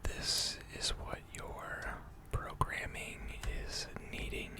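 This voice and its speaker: A person in an ASMR roleplay voicing a gentle, attentive mechanic who tends robots, speaking formally and deliberately in a soft, breathy whisper. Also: natural voice. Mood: calm, methodical. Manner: slow, deliberate, soothing, formal.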